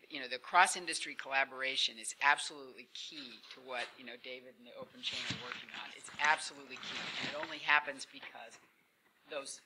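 A woman speaking unscripted as a conference panelist, earnest and emphatic, repeating key phrases, recorded in a conference room. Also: rumbling low. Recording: noticeable room noise in conference hall.

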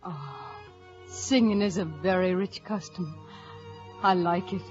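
An actress playing an earnest, wistful young daughter in a vintage radio drama. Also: female voice, on the low side, slow, music in the background. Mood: dreamy. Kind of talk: 1940s-50s radio acting. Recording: dull old radio recording.

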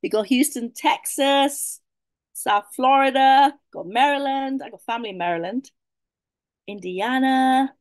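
A woman's voice: a little high, no echo. Young british woman, webinar co-host, bubbly, reads the chat, video call, dry sound. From United Kingdom. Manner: bubbly, reacting eagerly to the chat. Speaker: webinar co-host running a poll.

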